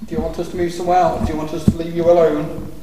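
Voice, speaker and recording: A man in his thirties, a paranormal investigator, calmly coaxing spirits with a self-deprecating manner. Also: on the high side, very fast, far from the mic. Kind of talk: casual calling out to spirits. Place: dark abandoned factory at night, indoors. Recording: echoey hall with background noise.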